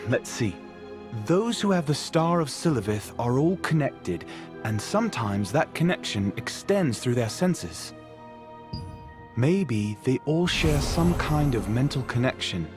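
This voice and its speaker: Earnest mage investigator, lively pitch swings, articulate, thoughtful, game dialogue over music. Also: male voice, unhurried. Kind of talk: video game voice acting.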